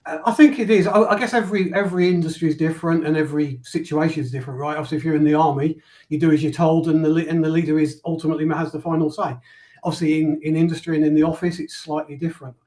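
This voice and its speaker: A man's voice: a little high, steady tone, racing, a little mellow. A man answering interview questions as a company founder, modest and hesitant, often repeating words as he thinks. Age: 50s.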